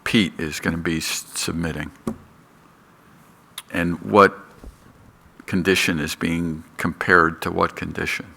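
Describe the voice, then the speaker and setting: A man with a deep, gravelly voice speaks slowly and hesitantly from a board table in a town meeting room. His tone is sharp and tense despite how low it sits, and he stays back from the microphone. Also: steady tone. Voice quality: low, soft voice. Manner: hesitant, speaking away from the mic. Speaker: planning board member. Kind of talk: public meeting question.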